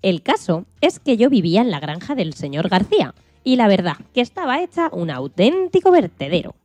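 A woman playing an excitable farm hen astronaut who tells her own story with playful dramatic emphasis in a children's radio play. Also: medium pitch, moderate pace, no room sound. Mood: indignant. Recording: music behind the voice.

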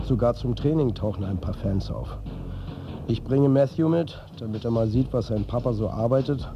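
A man's voice: on the low side, highs cut off, lightly acted. An actor playing a weary, blunt, foul-mouthed football manager who tells his own story in a radio drama. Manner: blunt, sweary, colloquial. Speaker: narrator and lead actor. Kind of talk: first-person radio drama narration.

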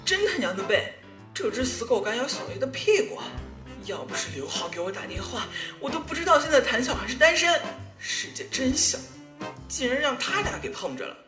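Woman as clingy young ex-boyfriend, whiny, coaxing, very animated intonation, audio drama, prominent background music. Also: fairly high pitch, fairly quick. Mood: whining, sly. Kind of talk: Chinese audio drama acting.

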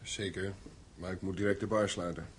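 Deep-voiced, cagey barman. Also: steady tone, moderate pace, breathy edge. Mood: uneasy, guarded. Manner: guarded, hesitant, subdued. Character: nervous, cagey barman minding his own business. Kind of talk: Dutch radio play acting. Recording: noisy old radio play recording.

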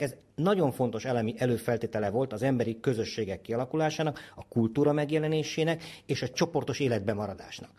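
A man with a husky, slightly rough voice explains scientific ideas. His pitch sits in the middle range. He builds long, winding sentences full of scholarly terms, like an expert giving commentary. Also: darkish tone. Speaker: ethologist interviewed as expert.

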